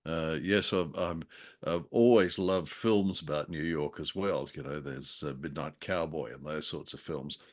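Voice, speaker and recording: A man's voice: extremely low pitch, a little slow. A man co-hosting a casual review show, feeling his way through sentences with hesitant phrasing and wry asides. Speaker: podcast co-host reviewing old radio plays.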